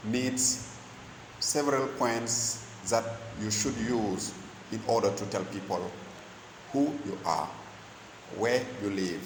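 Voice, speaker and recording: A man's voice: on the low side, noisy background. Senegalese man teaching English, echoey room. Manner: slow, deliberate delivery, switching between English, Wolof and French. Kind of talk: bilingual lesson in English and Wolof.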